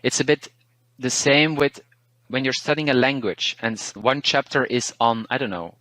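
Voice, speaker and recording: A man from Belgium with a Belgian Dutch accent whose first language is Dutch, a language teacher talking through learning tips in a relaxed, thoughtful way, pausing and restarting words. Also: medium pitch, no room sound, rough recording. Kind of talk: interview, casual advice.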